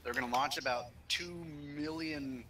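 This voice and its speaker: A man's voice: medium pitch, steady tone, moderate pace, pushed and bright, slight background noise. A man chatting casually as a gaming partner, joking and teasing as he talks. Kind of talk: casual gameplay chat.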